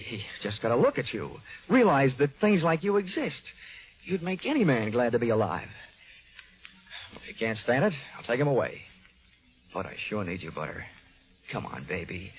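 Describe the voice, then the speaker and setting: An actor playing a wry, hardboiled, flirtatious insurance investigator in 1940s radio noir style. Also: male voice, mid-range voice, sing-song, moderate pace. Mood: coaxing. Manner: wry, wisecracking. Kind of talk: 1940s radio acting, first-person noir narration. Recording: dull 1940s radio broadcast with music.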